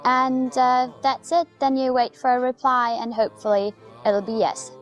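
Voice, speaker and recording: A woman in her twenties from Germany, a student, speaking in a relaxed, measured way in an interview. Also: sits high, faint music behind, low-quality recording. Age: 20s. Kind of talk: casual interview.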